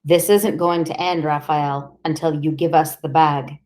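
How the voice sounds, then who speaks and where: A woman's voice: sits low, steady tone, measured pace, darkish tone. A woman voicing a tired, bullying male police interrogator with a blunt, menacing manner.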